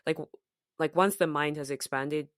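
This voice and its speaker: A woman from Zambia whose accent is softened by years in the US and UK, co-hosting a podcast in casual, reflective chat with frequent fillers and restarts. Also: deep voice, fairly even intonation, normal speed, no room sound, studio quality. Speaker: podcast co-host.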